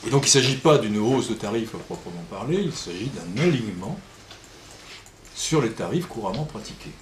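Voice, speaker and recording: A man of medium pitch argues a point as a local politician at a public meeting. His intonation swings widely. The room echoes around him and there is faint noise behind. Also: lively intonation, fairly quick.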